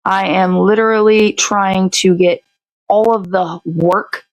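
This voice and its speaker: A woman's voice: mid-range voice, steady tone, takes it slowly, dry recording, poor audio. A woman hosting a morning show in casual chat, talking in a blunt, sweary, rambling way.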